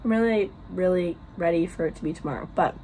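A woman's voice: on the low side, fast, mellow, slight background noise. A young woman in her twenties vlogging from her bedroom, chatty and rambling with lots of fillers, her voice worn and losing strength. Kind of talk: casual vlog chat and book talk. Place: small bedroom at home.

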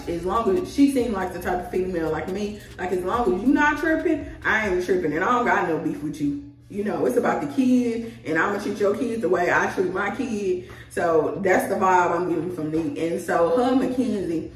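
A woman, chatting casually to camera in a rambling, animated, slangy way as a vlogger. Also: on the low side. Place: small tiled indoor room at home. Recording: echoey room, distant mic, music behind.

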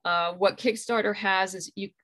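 A woman's voice: medium pitch, fairly even intonation, moderate pace, fairly bright tone. A middle-aged woman speaking as an interview guest, explaining things conversationally over a video call from a room at home. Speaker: interview guest, graphic novel creator. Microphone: video call computer microphone.

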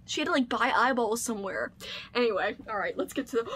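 A woman in her thirties chatting casually and gushing with excitement, as a video host in a home room. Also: on the high side, fast talker, slight background noise. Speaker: book channel host. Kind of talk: casual chat, unboxing vlog. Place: small home room lined with books.

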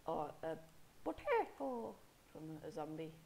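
A man's voice: a little high, sing-song, a little slow, creaky, darkish tone, slight background noise. A young man with a sniffly head cold, casually commenting on a game he is playing, rambling and correcting himself as he goes. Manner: rambling, self-correcting, mock-angry at enemies. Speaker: gameplay commentator.

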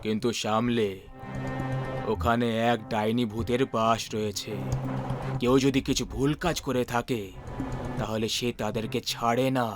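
Man playing slow, ominous mysterious stranger. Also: mid-range voice, flat delivery, natural voice. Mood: cryptic warning. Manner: slow, deliberate, foreboding. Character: ominous mysterious male stranger, calm and cryptic. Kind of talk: animated horror story acting. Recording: loud music bed behind voice.

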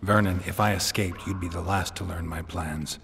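Weary sarcastic monster hunter for a video game, really low deadpan voice, noticeable background ambience. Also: male voice, normal speed, gravelly, noisy background. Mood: dry, sardonic. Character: weary, sardonic male monster hunter held prisoner.

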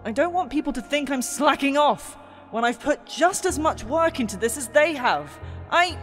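A woman's voice: high-pitched, lively intonation, normal speed, fairly bright tone. A woman with an English accent playing a proud, weary storyteller and reluctant regent, theatrical and hesitant, in a fantasy audio drama. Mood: weary, defensive. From England. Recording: reverberant hall with music.

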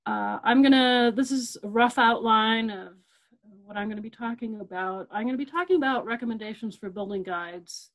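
A woman's voice: fairly high pitch, normal speed, poor audio. Woman, middle-aged, librarian, webinar presenter, conversational, filler words, computer mic. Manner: conversational, repeats key points, many fillers and restarts.

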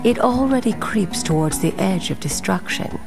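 A woman's voice: mid-range voice, animated, moderate pace, creaky, over music. A woman voicing a coldly menacing, imperious sorceress in a video game, speaking with grandiose deliberation. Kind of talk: video game villain acting.

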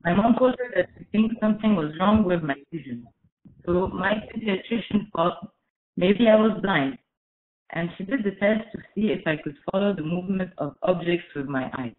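A woman in her twenties from Egypt giving a prepared webinar talk, careful and measured, with frequent "uh" fillers. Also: lowish voice. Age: 20s. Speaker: webinar speaker. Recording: echoey, phone-like webinar audio.

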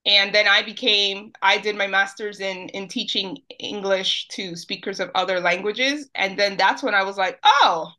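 Spanish-first-language woman from nyc, bright clear voice, thinking out loud with lots of ums. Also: mid-range voice, normal speed, hardly any pauses. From New York City. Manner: thoughtful, with many fillers and restarts. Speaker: guest, teacher. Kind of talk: casual interview talk.

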